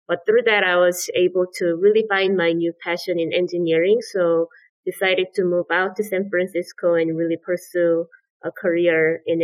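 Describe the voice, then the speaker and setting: A woman's voice: on the low side, muffled recording. A woman from South Korea, a startup founder, giving earnest, explanatory answers as a podcast guest. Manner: earnest and explanatory, with repeated "absolutely" and "so".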